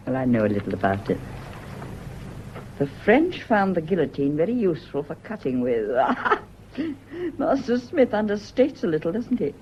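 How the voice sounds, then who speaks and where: A woman's voice: fairly low pitch, wildly swooping pitch, moderate pace. Anxious british woman in an old radio play, very up and down voice, bit of hiss. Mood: brittle, playful. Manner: anxious, dramatic, swinging into hushed tones. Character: nervous, guilt-haunted woman, former domestic companion, secret lover. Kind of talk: vintage British stage-style radio drama acting. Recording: muffled, noisy old radio drama recording.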